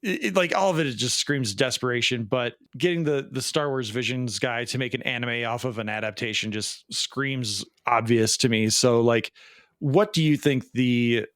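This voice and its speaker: A man's voice: medium pitch, normal speed, fairly bright tone, no echo, studio quality. A man co-hosting a podcast in casual chat, with a sarcastic, wry manner. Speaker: podcast co-host. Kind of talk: casual pop culture chat.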